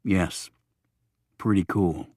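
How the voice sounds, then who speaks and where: A disheveled, dignified man down on his luck , voiced by a male audiobook narrator. He speaks in a low, measured way. His phrasing is formal and precise, and the sound is dry. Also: fairly even intonation, darkish tone, dry recording. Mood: unenthusiastic.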